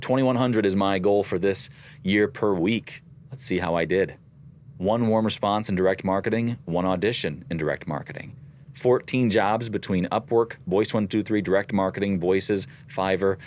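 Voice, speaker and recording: A very low-voiced man with a slight Midwestern accent talks through his weekly figures in a casual, methodical way. A faint noise sits behind his voice. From American Midwest. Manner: methodical, reads out numbers. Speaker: voice actor and co-host. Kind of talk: casual business chat.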